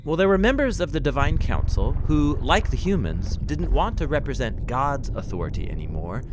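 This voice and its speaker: A man's voice: mid-range voice, big pitch swings, moderate pace, noisy background. A man giving a conversational, scripted explanation in an emphatic, teacherly way, affirming his partner as he goes. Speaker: explainer, co-host teaching Bible themes.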